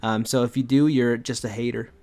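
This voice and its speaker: Sarcastic, halting comedic co-host. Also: mid-range voice, fairly even intonation, mellow. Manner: mock-defensive sarcasm, halting repetitions. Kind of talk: casual riffing on a fan fiction dramatic reading.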